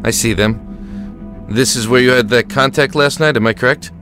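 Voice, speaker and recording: An actor playing a tired but steady American army lieutenant in a war audio drama, with measured, reassuring authority. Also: male voice, mid-range voice, over music, natural voice. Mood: steady, weary.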